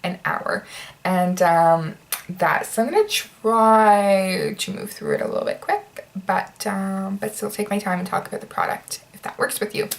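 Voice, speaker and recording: A woman's voice: medium pitch, measured pace, creaky. A woman vlogger chatting casually through her product favorites, sounding slightly stuffy and nasal, with lots of ums and likes. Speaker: beauty vlogger.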